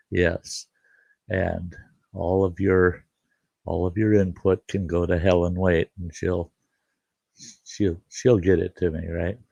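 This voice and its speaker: A hoarse older man hosts a relaxed live stream, his low voice rough and gravelly. He talks slowly and haltingly, wandering between thoughts with plenty of fillers, recorded dry and close. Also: no room sound. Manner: halting, rambling, many fillers. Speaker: livestream host. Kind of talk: casual live stream chat.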